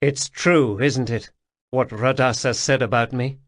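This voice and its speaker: A man voicing a desperate young noble man who pleads, protests and breaks into shouting. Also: mid-range voice, fairly even intonation, a little slow, no room sound, studio quality, lightly acted. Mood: earnest.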